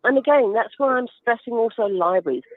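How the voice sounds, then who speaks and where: A woman's voice: a little high, lively intonation, a little fast, no room sound. A woman chatting enthusiastically and descriptively as a guest giving advice in a radio interview. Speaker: guest author. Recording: narrow phone-like line.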